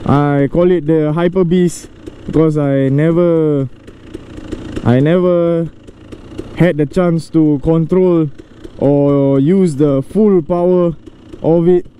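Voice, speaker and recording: A man giving casual vlog commentary as he rides a motorcycle outdoors, talking haltingly in phrases broken by pauses. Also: fairly high pitch, fairly even intonation. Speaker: motovlogger and rider. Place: outdoors, riding a motorcycle on roads. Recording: loud motorcycle engine and road noise. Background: motorcycle engine, traffic.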